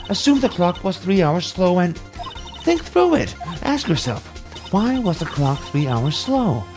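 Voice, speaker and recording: A man voicing a female mentor lawyer in a comic game reading, bossy, encouraging and brisk. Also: sits high, a little mellow, exaggerated voice. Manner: brisk, urging. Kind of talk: comic amateur video game dramatic reading.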